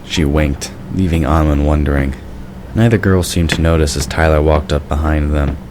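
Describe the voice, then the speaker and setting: A male narrator reads a scripted story. His voice is exceptionally deep and low. A faint layer of background noise runs underneath. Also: fairly even intonation, normal speed.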